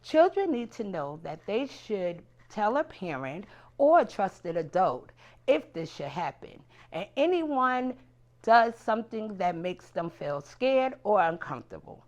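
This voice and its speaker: Female support-worker presenter, mid-pitched, very varied intonation, deliberate scripted educational read, earnest tone. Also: a little mellow, dull audio. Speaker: family support provider presenting. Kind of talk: scripted educational narration for parents.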